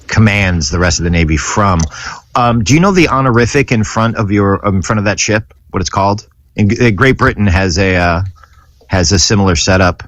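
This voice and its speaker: Guy quizzing someone in a debate, lowish voice, dry sound. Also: fairly bright tone, no echo. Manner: probing, quizzing with pointed questions. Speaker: debate panelist. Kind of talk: heated panel debate.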